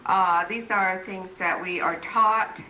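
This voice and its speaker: A woman's voice: mid-range voice, fairly even intonation, takes it slowly, bright, forceful tone, a little hiss or hum. A middle-aged woman from the Philippines with a slight Filipino accent, speaking earnestly and firmly on a symposium panel. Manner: earnest, firm, lecturing with repeated "okay?". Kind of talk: public talk at a community symposium. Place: community center hall, recorded from afar. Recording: echoey hall recording from far off.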